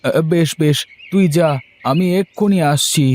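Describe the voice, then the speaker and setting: A man's voice: a little high, measured pace, darkish tone, natural voice. A kind, principled village farmer from a cartoon played by a man. He is humble and poor, and he speaks in a hesitant, earnest way, easing into his phrases with small filler sounds. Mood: hesitant, affectionate. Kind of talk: cartoon dialogue acting.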